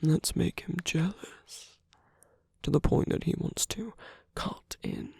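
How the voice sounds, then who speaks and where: A man playing a suave, seductive villain with contempt for others in an ASMR romance roleplay, speaking intimately and teasingly in a breathy, husky voice that often nears a whisper. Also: a little high, huge pitch swings, dark tone, no echo, studio quality, exaggerated voice. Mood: seductive, persuasive. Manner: slow, deliberate, intimate, teasing.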